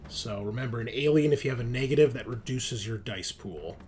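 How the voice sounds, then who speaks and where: A man's voice: medium pitch, brisk, a little mellow, soft background music. A man narrating a tabletop roleplaying game as game master, setting scenes and calling for rolls.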